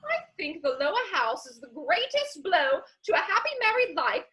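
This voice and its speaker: Gushing Victorian society lady for stage comedy; chatty, very bright, animated. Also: high-pitched, moderate pace, full character voice. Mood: gossipy, airy. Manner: gushing, rambling, theatrical. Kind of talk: stage comedy dramatic reading.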